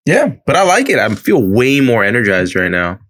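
A man's voice: mid-range voice, huge pitch swings, normal speed, a bit bright. A young man co-hosting a podcast from home over a video call, teasing and joking with his co-host. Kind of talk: casual chat.

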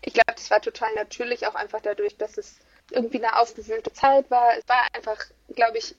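A woman's voice: a little high, a little fast. Woman on a dull, lo-fi recording. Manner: animated, hesitant, with many fillers. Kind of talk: conversational chat. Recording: dull, lo-fi sound.

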